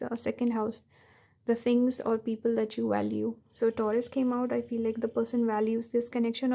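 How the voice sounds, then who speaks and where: A woman giving a pick-a-card tarot reading, her voice hoarse and strained from many readings, talking through her impressions at an unhurried pace. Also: a little high, dark tone. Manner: thinking aloud, unhurried. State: hoarse, tired voice. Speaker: tarot reader.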